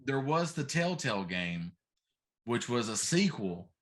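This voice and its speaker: A man's voice: medium pitch, big pitch swings, unhurried. A middle-aged man hosting a casual talk show, chatting about games in an emphatic, complaining way.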